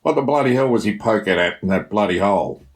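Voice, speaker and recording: A man acts out a gruff rural Australian father from a short story. He is blunt and rough-edged, a low male voice. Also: expressive, quick pace, close to an everyday voice. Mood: angry.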